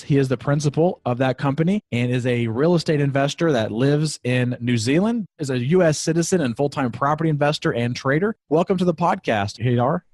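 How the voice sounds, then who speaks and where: A man hosting a podcast, reading a scripted intro and sponsor message in a smooth announcer-like way, then asking the guest questions. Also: medium pitch, a little mellow. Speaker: podcast host.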